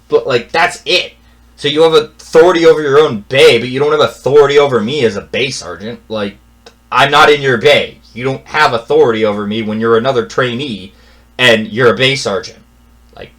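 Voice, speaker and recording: Young guy venting a story, annoyed, keeps repeating himself, goes quiet then gets loud. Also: medium pitch. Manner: exasperated, repetitive, swings from mumbling to loud. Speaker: vlogger telling a personal story. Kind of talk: casual storytelling rant.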